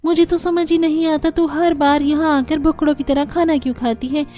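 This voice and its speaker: Woman acting a cartoon college girl, very high voice, blunt, exasperated, faint music. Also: fairly even intonation, a little fast, warm tone, natural voice. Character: scolding young college girl at a roadside eatery. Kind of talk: Hindi cartoon story character voice.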